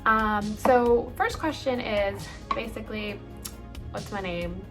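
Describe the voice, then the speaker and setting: A woman's voice: medium pitch, slow, music in the background. A woman in her late twenties, from El Salvador, chatting casually through a get-ready-with-me Q&A, rambling with lots of ums and likes. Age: late 20s. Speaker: beauty vlogger.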